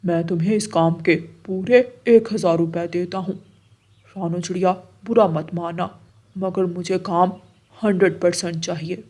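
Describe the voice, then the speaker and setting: A woman's voice: on the low side, steady tone, normal speed, darkish tone, voice pushed down, close to an everyday voice. Woman playing a stern male pigeon boss. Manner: firm, admonishing. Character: stern male pigeon hotel boss, scolding but fair.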